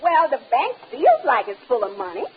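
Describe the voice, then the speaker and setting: An actress playing a sharp-tongued, long-suffering wife in a vintage radio comedy. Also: female voice, high-pitched, wildly swooping pitch, moderate pace. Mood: determined. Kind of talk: 1950s radio situation comedy acting. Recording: muffled, very noisy old radio recording.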